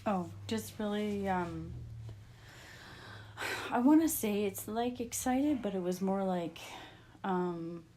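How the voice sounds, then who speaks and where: A woman's voice: mid-range voice, animated, slow pace, pauses often, dark tone, a little hiss or hum. A middle-aged woman with a Canadian accent, chatting casually as an interviewee in a family conversation. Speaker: interviewee, the host's wife.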